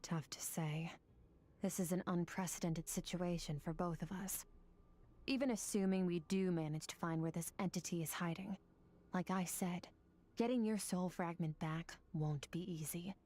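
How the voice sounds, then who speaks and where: An actress voicing a cool, composed woman warrior mentor in video game dialogue, speaking in a calm, measured way. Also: fairly low pitch, natural voice.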